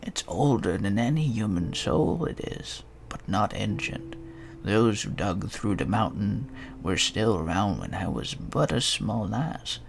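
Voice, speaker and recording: Man voicing a creaky old village woman. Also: fairly low pitch, sing-song, unhurried, full character voice. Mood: grave, measured. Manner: folksy phrasing with tag endings. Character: elderly village woman digger, steady, matter-of-fact, rustic.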